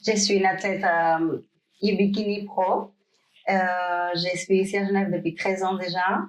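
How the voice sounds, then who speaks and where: A woman's voice: mid-range voice, steady tone, a little slow, a little hiss or hum, rough recording. A woman from Cuba, speaking French as a guest on a podcast, hesitating often with fillers and restarts. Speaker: guest, pro bikini athlete. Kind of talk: podcast interview.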